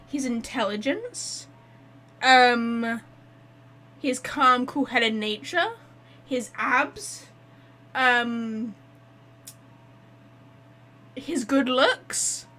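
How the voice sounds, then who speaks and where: A woman giving casual, expressive gameplay commentary as a streamer, teasing and informal. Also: a little high, extremely slow, pauses often. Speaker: streamer reacting to a game story.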